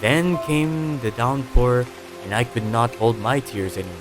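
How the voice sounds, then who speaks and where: A man narrating an audiobook story in a dramatic, measured way with pauses. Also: medium pitch. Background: music.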